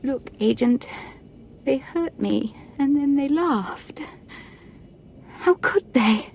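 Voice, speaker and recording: An actress playing a wounded, imperious young Sith lord in a video game, speaking with haughty, aggrieved drama. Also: female voice, sits high, expressive, slow pace, vocal fry, a little mellow, slight background noise, full character voice. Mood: bitter, wounded.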